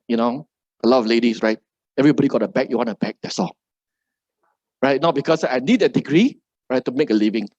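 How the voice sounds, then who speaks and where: A man's voice: medium pitch, darkish tone, no echo. Middle-aged malaysian guy giving a seminar talk, keeps saying right?, dry dull sound. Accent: Malaysian English. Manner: tags nearly every phrase with "right?". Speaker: motivational speaker. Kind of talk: seminar talk to a live audience.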